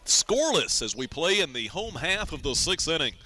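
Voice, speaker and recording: An American man calling a baseball game as a radio play-by-play announcer, in a measured, descriptive way. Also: fairly high pitch, very animated, sharp tone. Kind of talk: live sports radio commentary.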